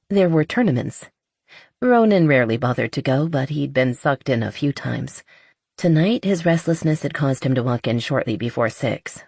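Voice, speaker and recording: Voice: mid-range voice, darkish tone, no room sound. Audiobook narrator reading romance, close dry sound. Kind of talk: scripted romance novel narration.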